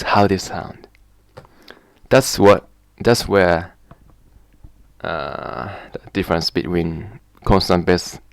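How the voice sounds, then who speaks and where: A man with a Japanese accent, explaining in a hesitant, halting vlog way and slowly sounding out example words. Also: deep voice, creaky, no echo. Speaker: vlogger. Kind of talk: casual spoken explanation to camera.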